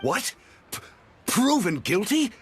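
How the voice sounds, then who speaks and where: Shifty merchant, game cutscene: blustering, flustered, pitch leaping about, music underneath. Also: male voice, sing-song, slow pace, heavily acted. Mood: panicked. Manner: blustering, stammering. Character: flustered, shifty male merchant protesting innocence. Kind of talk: video game cutscene acting. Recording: music behind the voice.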